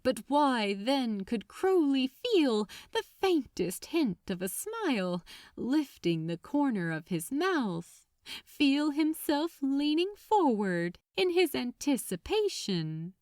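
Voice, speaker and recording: A woman reading fiction aloud as an audiobook narrator, in an expressive scripted style. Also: high-pitched, slow pace, no echo, studio quality.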